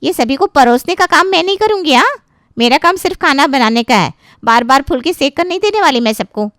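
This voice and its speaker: A woman's voice: high-pitched, animated, brisk, a bit bright, no room sound, studio quality. A woman playing a brash, defiant hired cook who complains and talks back in a family story. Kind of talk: dramatized Hindi family story dialogue.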